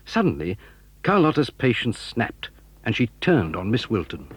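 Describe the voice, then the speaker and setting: A man's voice: mid-range voice, wildly swooping pitch, normal speed, breathy edge. A man with a British accent reading scripted storybook narration for an audio dramatisation. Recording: dull, lo-fi old audio recording.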